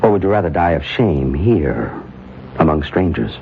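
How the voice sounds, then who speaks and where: An actor in a 1970s radio drama playing an earnest diagnostician, questioning in a measured, insistent way. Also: male voice, extremely low pitch, animated. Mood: insistent, solemn. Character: probing, earnest male diagnostician and confidant. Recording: dull, slightly hissy vintage radio recording.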